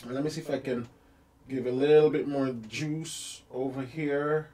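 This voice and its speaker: A man teaching piano on a live stream, chatting casually with viewers and working through his setup out loud. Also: mid-range voice, steady tone, unhurried. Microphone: streaming mic.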